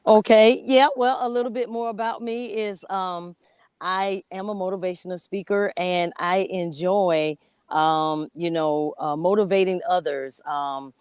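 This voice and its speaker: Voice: medium pitch, a little slow, darkish tone, clear, resonant tone, dry recording. Motivational speaker, earnest and reflective, very dry sound, video call. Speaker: motivational speaker, show host. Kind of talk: guest talk on an online show.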